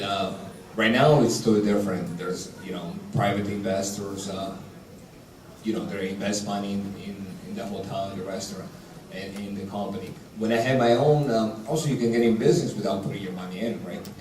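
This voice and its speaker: Italian-accented chef in an echoey hall. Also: male voice, fairly low pitch, brisk, darkish tone. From Italy. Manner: direct, practical, with broken grammar. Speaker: executive chef on a panel. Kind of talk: panel discussion, advice. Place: large hall. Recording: echoey hall, distant mic, light noise.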